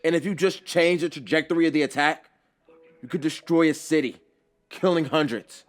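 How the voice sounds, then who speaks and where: A man's voice: on the high side, normal speed, pitched above the performer's own voice, close to an everyday voice. A man voicing a stern adult male hero teacher, firm and commanding.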